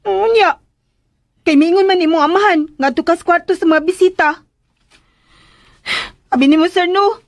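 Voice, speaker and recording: Very high-pitched cheeky young caretaker. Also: female voice, normal speed, pauses often, no echo, clean studio sound. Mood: flustered defensive. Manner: blurting, hesitant, chatty back-talk. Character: cheeky, plain-spoken, pleading young female caretaker. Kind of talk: radio drama acting.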